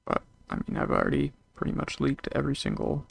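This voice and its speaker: A man's voice: medium pitch, wildly swooping pitch, quick pace, vocal fry, a little hiss or hum. A young man streaming a game, casually chatting and reading out chat messages in a rambling way. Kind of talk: casual chat with live chat while gaming.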